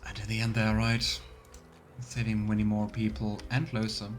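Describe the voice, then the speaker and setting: A man with a fairly low voice gives casual let's play commentary. He muses aloud over faint game music. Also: completely flat delivery, normal speed. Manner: musing, thinking aloud. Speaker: streamer, let's player.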